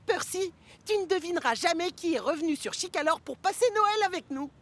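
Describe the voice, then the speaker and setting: A woman's voice: extremely high pitch, expressive, a little fast, a bit bright. A woman voicing a bubbly, eager young male tank engine in a children's cartoon, exclaiming with enthusiasm. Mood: excited.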